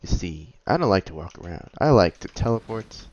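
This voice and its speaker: A woman's voice: very deep voice, big pitch swings, a little fast, darkish tone. Playful woman gamer, dull lo-fi mic. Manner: playful, exclaiming. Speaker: gaming streamer. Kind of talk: casual gameplay commentary.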